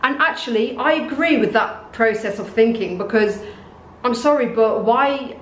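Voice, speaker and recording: A woman's voice: normal speed, slight background noise. Woman vlogging in an echoey room. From North Africa. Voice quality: says she has a deep voice. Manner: says her voice and face are monotone. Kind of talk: casual opinion monologue to camera.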